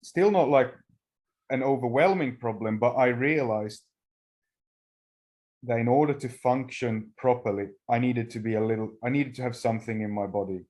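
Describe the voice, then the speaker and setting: A middle-aged man from Finland, a native Swedish speaker, telling his personal recovery story at a meeting with halting pauses and restarts. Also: mid-range voice, darkish tone. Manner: halting, frequent restarts and fillers. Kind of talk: personal testimony talk.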